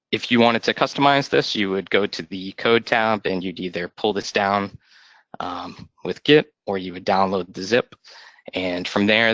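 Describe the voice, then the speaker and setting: Brief: lowish, bright, forward male voice; step-by-step tech explainer; dry, muffled call audio. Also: no echo. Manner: steady instructive explaining. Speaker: software consultant giving a demo. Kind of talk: screen-share installation walkthrough.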